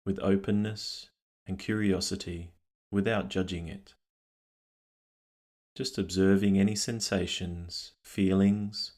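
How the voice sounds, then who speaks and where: A man leading a guided meditation, speaking calmly and gently in unhurried phrases with long pauses. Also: low voice. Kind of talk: guided meditation, scripted narration.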